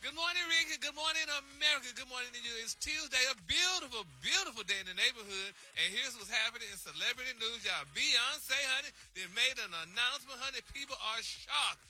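Guy doing a dramatic sassy gossip dude on a radio bit, high bright voice. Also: lively intonation, fairly quick, hardly any pauses, heavily acted. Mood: gossipy. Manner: gushing, dramatic, peppers talk with "honey" and "y'all". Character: flamboyant sassy male celebrity gossip reporter. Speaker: gossip segment guest on radio show.

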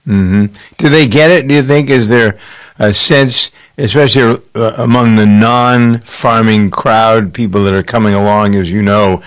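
A man's voice: on the low side, steady tone, unhurried, smooth. A man hosting a radio farm program, interviewing a guest in a conversational, probing way. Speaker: radio show host.

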